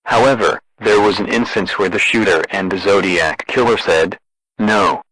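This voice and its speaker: A man with a synthetic, deadpan and formal delivery, reading a scripted emergency broadcast announcement. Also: on the low side, moderate pace, breathy edge, dry recording, low-quality recording.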